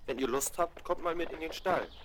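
A man's voice: on the high side, fairly quick, close to an everyday voice. A man playing a patient horse breeder who explains things kindly in a 1970s German radio play. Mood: patient, explaining. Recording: noisy 1970s vinyl rip.

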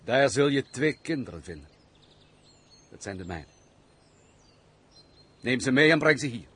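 A man's voice: mid-range voice, expressive, unhurried, a bit bright, slightly rough. A man acting an embittered, brooding nobleman in a Dutch radio play, with formal, declamatory old-fashioned diction. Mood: curt, commanding. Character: brooding, embittered nobleman, weary of society, curt master.

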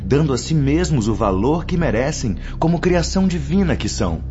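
A man's voice: a little high, sing-song, normal speed, warm tone. A man playing a grave, patient spirit mentor in a radio drama, teaching in formal diction. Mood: patient, admonishing. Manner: solemn, didactic, formal diction. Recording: dull lo-fi audio under music.

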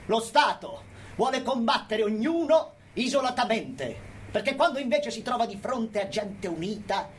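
Over audible background noise, a man performs as an unrepentant anarchist militant addressing a court. His voice sits fairly high, and he declaims with stubborn, emphatic conviction in a political radio-theatre monologue. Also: lightly acted. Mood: resolute, defiant.

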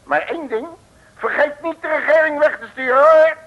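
A cocky detective in an old radio comedy serial. His high voice pours out brash, folksy slang and bossy boasts. The recording is dull and dated. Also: moderate pace, a bit bright. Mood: cocky, familiar.

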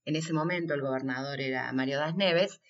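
An Argentine woman answering interview questions as a coordinator, explaining things unscripted and haltingly. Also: sits low, slight background noise. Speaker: workshop coordinator being interviewed. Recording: slightly dull, lo-fi recording.

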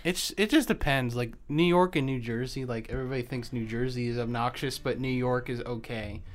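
A man's voice: mid-range voice, brisk. A young man co-hosting a podcast, chatting casually and often trailing off mid-thought. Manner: hesitant, trails off. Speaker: podcast co-host. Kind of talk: casual chat.

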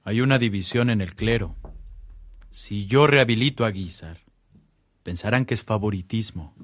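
A man playing a grave, cautious older church dignitary in a radio drama, formal and measured, with a Mexican accent. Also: lowish voice, lightly acted. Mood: formal, guarded.

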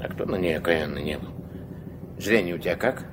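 An actor in a Soviet radio drama playing a gruff, commanding old riverboat captain who gives curt orders in folksy speech. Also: male voice, sits low, fairly quick, close to an everyday voice. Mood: grumbling, instructive. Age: older. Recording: noisy 1970s radio play recording.

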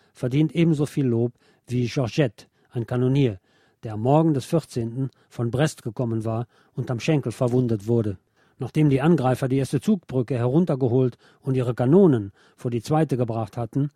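A man reading historical accounts as scripted radio narration in a measured, literary manner. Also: medium pitch, dark tone, dry recording, studio quality.

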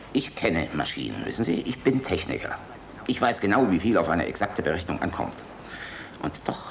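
Gravelly, deep-voiced, world-weary German general. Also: male voice, a little hiss or hum, heavily acted, rough recording. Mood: brooding, wry. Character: cynical hard-drinking middle-aged German air force general, charming flirt. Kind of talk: radio play acting.

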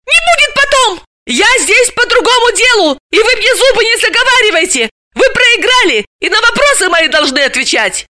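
A woman voicing a shrill, indignant, sharp-tongued young woman with a very high voice. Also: very animated, moderate pace, dry recording, clean studio sound, exaggerated voice.

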